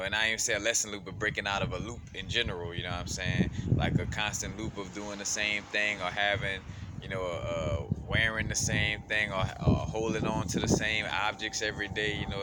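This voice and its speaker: A man from Louisiana in a casual, rambling vlog monologue, full of fillers and laid-back slang. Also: fairly low pitch. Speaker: spiritual vlogger. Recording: loud background noise.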